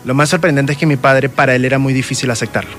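A man's voice: medium pitch, fairly even intonation, rapid-fire, a bit bright, soft background music. A man with a Venezuelan accent, a guest telling personal stories conversationally and often checking that the listener follows. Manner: frequent check-in tags. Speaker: guest, self-described medium.

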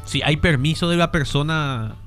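A man's voice: fairly high pitch, normal speed, a bit bright, soft background music. A man, hosting a casual radio chat and often murmuring along as others talk. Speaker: radio host.

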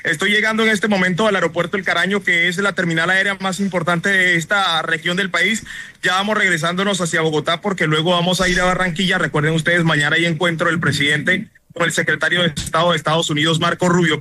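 A man's voice: high voice, bright, forceful tone. A man from La Guajira, Colombia, giving a formal live news report from an airport. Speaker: field reporter. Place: airport, on location.

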